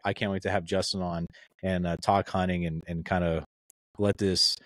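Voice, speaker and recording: A man's voice: sits low, flat delivery, moderate pace, a bit bright, dry recording. A young man hosting a podcast, chatting casually and rambling in a friendly way, often calling his guest "man" and "dude". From Pennsylvania. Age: young adult. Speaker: podcast host.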